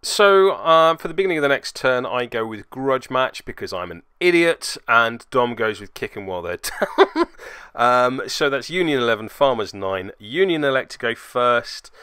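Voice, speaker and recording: A man's voice: mid-range voice, lively intonation, moderate pace, sharp tone, clear, resonant tone, no echo. A man narrating a tabletop game match he plays in, with dry, self-mocking, sarcastic humour. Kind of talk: voice-over game commentary.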